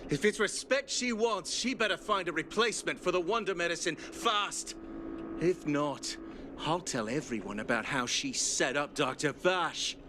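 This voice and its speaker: Bitter sarcastic patient, high-pitched and lively, played by a man. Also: normal speed, background noise, close to an everyday voice. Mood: resentful. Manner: scornful, sarcastic. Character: bitter resentful male patient, scornful and defiant. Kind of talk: game cutscene voice acting. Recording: game score music behind.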